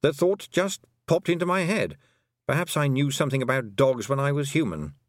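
A man voicing a detached, pedantic talking toad with a deadpan manner in an audiobook. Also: medium pitch, normal speed, dry recording, studio quality, pitched above the performer's own voice, natural voice.

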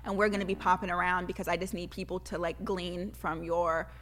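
A woman's voice: fairly low pitch, flat delivery, a little fast, a bit bright, slight background noise. Effusive woman co-host in a reverberant room. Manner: effusive, slangy. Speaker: podcast co-host. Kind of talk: casual chat, interviewing.

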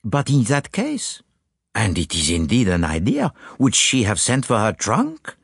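A courteous foreign detective, acted by a man in an audiobook. He is precise, formal and coaxing, with lilting, very lively intonation in a dry studio sound. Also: fairly low pitch, a little slow, gravelly, breathy edge, no echo, voice pushed up, exaggerated voice. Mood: probing. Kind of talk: audiobook character voice.

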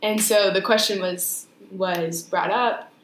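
A young woman co-hosting a casual podcast, talking thoughtfully and measured, often pausing to rephrase. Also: medium pitch, steady tone. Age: young adult. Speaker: podcast co-host. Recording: echoey room with faint noise.

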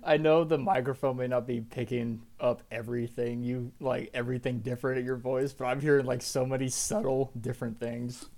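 A man's voice: medium pitch, flat delivery, fairly quick, gravelly, few pauses, darkish tone. A young man co-hosting a podcast in casual chat, with a laid-back, teasing way of talking. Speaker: podcast co-host.

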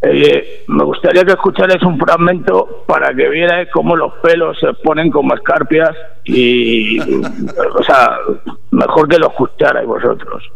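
A man with a hoarse, rough voice, talking casually and haltingly as a guest on live radio. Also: a little high, steady tone, rough recording. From Bujalance, Andalusia, Spain. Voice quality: hoarse, gravelly. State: hoarse after little sleep. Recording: radio show with faint music.

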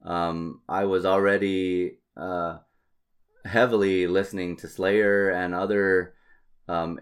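Low-voiced man, halting and reflective. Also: level tone, clear, resonant tone. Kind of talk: candid casual conversation.

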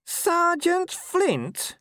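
A man's voice: extremely high pitch, wildly swooping pitch, very slow, bright, forceful tone, no room sound, clean studio sound, voice pushed up, full character voice. A man voicing an eager, anxious, servile little corporal in a storybook reading. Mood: aghast.

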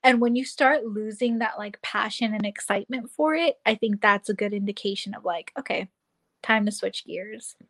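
A woman in her twenties talks as a guest in an easygoing chat. She speaks at an average pitch and animates her points by acting out her own inner thoughts as quotes. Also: moderate pace. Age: 20s. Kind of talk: casual chat.

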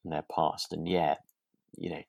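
A woman chatting as a podcast co-host. Her voice is very low and her delivery is tentative and conversational. The recording is very dry, without room sound. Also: flat delivery, normal speed, creaky, a little mellow, dry recording. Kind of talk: relaxed chat discussing a radio drama.

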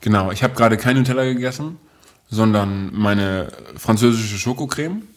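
A man's voice: on the low side, moderate pace. A man co-presenting a podcast in casual, humorous conversation.

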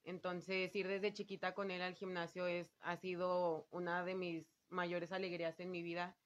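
A woman's voice: on the low side, little pitch movement, normal speed. A young adult woman with a Mexican accent, answering casually as an athlete being interviewed, earnest and informal. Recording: slightly dull, lo-fi sound.

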